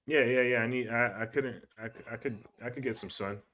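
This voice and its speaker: A man's voice: medium pitch, a little fast, a crowd in the background. A young man co-hosting a podcast in casual chat, talking in a laid-back, rambling way and swearing often. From Cuban heritage. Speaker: podcast co-host.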